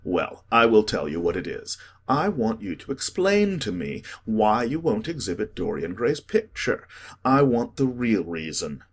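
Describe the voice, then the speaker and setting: An actor playing a languid, witty, cynical aristocrat in a dramatic audiobook, with a teasing drawl. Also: male voice, on the low side, big pitch swings, a little slow, slightly rough, exaggerated voice. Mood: insistent.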